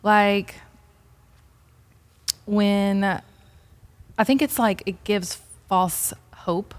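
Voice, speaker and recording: A woman's voice: medium pitch, fairly even intonation, drawn-out words. A woman, a salon owner chatting casually as a podcast guest, full of "like" and "um". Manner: chatty, fills with "like" and "um". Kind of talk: casual interview chat.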